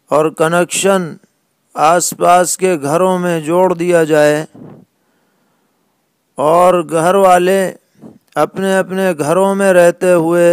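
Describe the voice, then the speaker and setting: Male religious scholar, very unhurried and deliberate, slightly high-pitched, giving plain rulings in question-and-answer form. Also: clean tone, no echo. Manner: measured, deliberate, pausing mid-sentence. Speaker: Islamic scholar (mufti).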